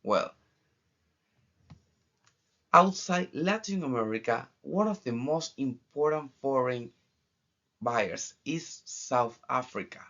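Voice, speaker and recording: A young man from Argentina with an Argentine accent, a student giving a formal final project presentation in measured phrases with pauses. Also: mid-range voice, lively intonation, fairly bright tone. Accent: Argentine Spanish. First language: Spanish. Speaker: international trade student. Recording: dull lo-fi recording.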